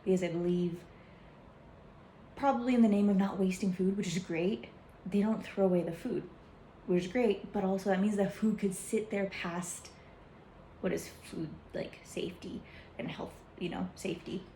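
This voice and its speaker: A chatty young woman rambles through her travel food stories, her talk full of fillers and quick asides. Her voice is mellow and relaxed. It rings a little in an echoey room. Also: medium pitch, slight background noise. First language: English, some Hindi learned. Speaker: travel vlogger. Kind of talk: casual chat.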